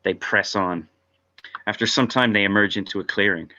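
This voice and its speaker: A man's voice: fairly low pitch, normal speed, pushed and bright. A man narrating stage directions from a script at an informal table read, heard over lo-fi video call audio.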